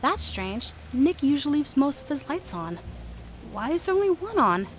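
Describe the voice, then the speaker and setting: Woman acting high-voiced, animated young woman, noisy recording. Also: moderate pace. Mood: uneasy. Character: anxious, grumbling young woman visiting an old friend. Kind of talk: amateur radio play acting.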